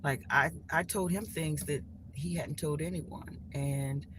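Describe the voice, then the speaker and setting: A woman's voice: low-pitched, steady tone, a little slow. A woman hosting a live stream from her phone at home, talking casually and loosely about spiritual lessons, with many restarts and fillers. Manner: rambling, many restarts and "you know" fillers. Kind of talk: casual spiritual talk to viewers. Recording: clear background noise.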